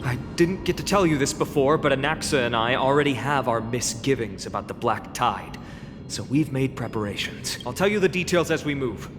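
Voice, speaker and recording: A man plays a grave young warrior leader from a fantasy game. His mid-pitched voice is formal and commanding, ringing with long reverb while music plays clearly behind. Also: lively intonation, fairly quick, natural voice. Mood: resolute. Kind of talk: video game voice acting.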